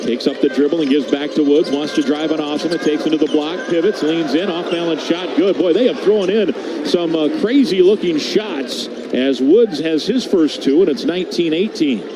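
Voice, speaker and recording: A man's voice: on the high side, normal speed, few pauses, noisy background. A man giving live radio-style basketball play-by-play as the commentator, in clipped running descriptions that lift on big plays. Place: basketball arena, courtside.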